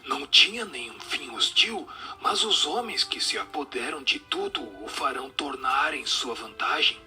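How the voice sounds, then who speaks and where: A mischievous wandering spirit of an old-time street ragpicker, played by a man, speaks in a radio drama. The voice is sharp and forceful, with playful, breathy turns, over a bed of music. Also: mid-range voice, animated, moderate pace. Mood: playful, boastful. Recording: music under the voice.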